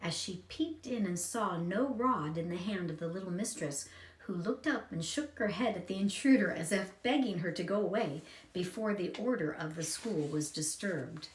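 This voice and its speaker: A woman narrates a storybook from a script. Her voice sits a little low, and the room adds a clear echo to her reading. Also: animated, normal speed.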